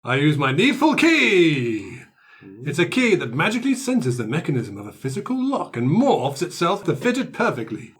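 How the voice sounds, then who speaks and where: A man's voice: a little high, wildly swooping pitch, normal speed, a bit bright. A man improvising playfully as a tabletop game player, giggly and a little hesitant. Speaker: player in a tabletop roleplaying show.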